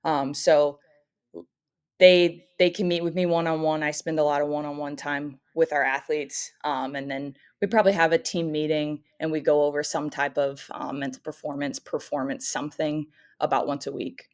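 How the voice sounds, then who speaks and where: A young American woman raised in the Midwest, a softball coach talking casually and cheerfully as a podcast guest, with frequent "um" fillers. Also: sits low, steady tone, normal speed. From United States (grew up in St. Louis, Missouri). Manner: friendly, upbeat, frequent "um" fillers. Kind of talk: casual interview chat.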